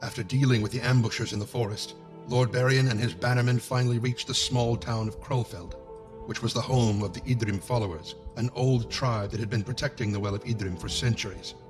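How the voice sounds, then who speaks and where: A man's voice: medium pitch, fairly even intonation, fairly quick, over music, low-quality recording. A man narrating a medieval fantasy game story from a script, in a storytelling style.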